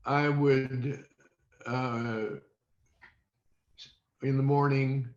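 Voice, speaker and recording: An American man in his eighties recollecting in an interview, speaking in a halting, deliberate way. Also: mid-range voice, level tone. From Indiana, United States. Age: 80s. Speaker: interview guest. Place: indoors on a video call. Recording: dull roomy video call audio.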